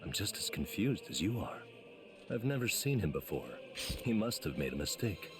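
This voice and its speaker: A man playing a calm, polite demon posing as a gentleman in an English video game. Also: sits low, moderate pace, over music. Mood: smoothly polite. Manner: polite, composed.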